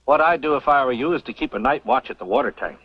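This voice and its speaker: Casting: sly, self-important Western professor, animated delivery; dull old radio sound. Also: male voice, medium pitch, slightly rough. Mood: advising. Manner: pompous, deliberate. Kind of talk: old-time radio drama acting. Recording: muffled old radio recording.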